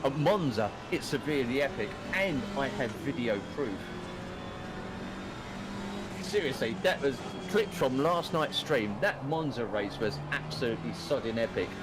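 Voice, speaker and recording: A Cockney man in his fifties from East London, commentating a sim race live in a chatty, excitable way, swearing casually. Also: mid-range voice, normal speed, hardly any pauses, over loud music. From East London, England. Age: 50s. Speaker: race broadcast commentator and streamer.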